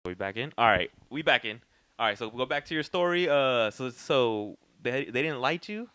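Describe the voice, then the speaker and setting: A chatty man in his twenties hosts a casual interview. His pitch moves a lot and his tone is bright and forward. He keeps a steady stream of "yeah, yeah" going. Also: moderate pace, clean tone, no room sound. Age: 20s. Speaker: podcast host.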